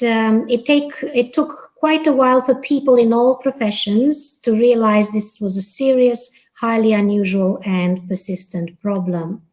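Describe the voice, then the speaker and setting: A middle-aged woman from Romania giving a prepared conference talk as a guest professor over a video call, speaking in a careful, measured way with frequent "uh" and "um". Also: a little high, a little mellow, poor audio. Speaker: guest panelist, associate professor.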